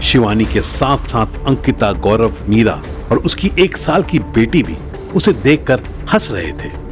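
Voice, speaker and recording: A man's voice: medium pitch, expressive, unhurried, over music. A man narrating a scripted audio drama in a dramatic storytelling style.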